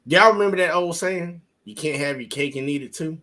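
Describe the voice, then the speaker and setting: A man's voice: fairly high pitch, a little fast. A man, hosting a casual podcast debate and laying out his views emphatically. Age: 30s. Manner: animated, emphatic, lecturing. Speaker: podcast host.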